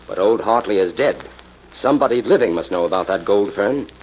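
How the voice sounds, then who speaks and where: An actor as an animated, decisive investigator hero. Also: male voice, fairly low pitch, a little fast, close to an everyday voice. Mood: deliberate. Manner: earnest, decisive, explanatory. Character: decisive, methodical male crime-fighting investigator hero. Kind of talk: 1940s radio acting. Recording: muffled, noisy old radio recording.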